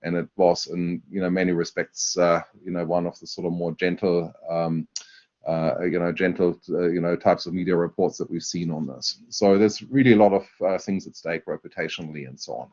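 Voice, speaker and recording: A man with a German accent presenting a university webinar, talking in a halting way with many fillers and restarts. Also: sits low, fairly even intonation, a little mellow. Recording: dull lo-fi webinar audio.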